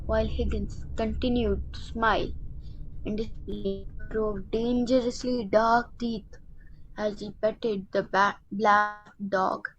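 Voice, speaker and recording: A woman's voice: mid-range voice, fairly even intonation, slow pace, highs cut off. A woman giving scripted narration as the storyteller of a radio play. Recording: music clearly behind.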